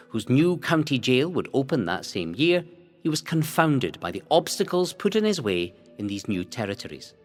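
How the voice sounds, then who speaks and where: A man narrating a scripted historical documentary in a measured, formal way with dramatic phrasing. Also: medium pitch. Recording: music behind the narration. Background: music.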